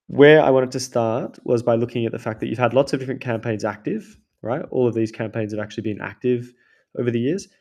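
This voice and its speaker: A man's voice: lowish voice, dark tone. A man hosting a video call, walking a guest through an ad account in a loose, chatty way full of "like" and "kind of". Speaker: host, ads consultant. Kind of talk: casual screen-share teardown.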